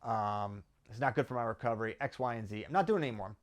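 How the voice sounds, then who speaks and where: A man's voice: on the low side, fast talker. A man with an American accent giving conversational sports analysis as a host, often posing questions and answering them himself. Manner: argues through rhetorical questions and tag questions. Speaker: wrestling commentator and host.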